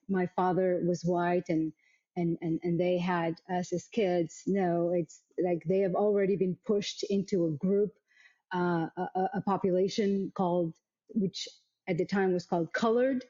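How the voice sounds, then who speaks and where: A middle-aged woman from Africa, telling her story as an interview guest in a thoughtful, halting way with many repeated words. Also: fairly low pitch, warm tone. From South Africa. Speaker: guest, arts nonprofit vice president. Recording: dull, muffled lo-fi sound.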